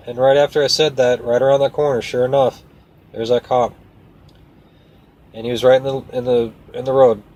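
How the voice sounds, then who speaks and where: A man's voice: medium pitch, poor audio. A man who works as a truck driver tells a story casually, in a folksy, rambling and earnest way. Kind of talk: casual first-person storytelling to camera.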